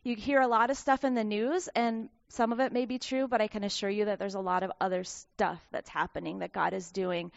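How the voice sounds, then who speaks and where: Earnest missionary woman, smooth and dry. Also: medium pitch, steady tone, dry recording, highs cut off. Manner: earnest, with frequent filler pauses. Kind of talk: church mission report. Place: church sanctuary.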